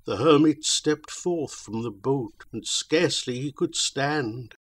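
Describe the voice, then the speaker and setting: A man's voice: mid-range voice, slow pace, slightly rough. A man voicing a tormented old mariner who solemnly declaims his tale in archaic verse. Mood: haunted.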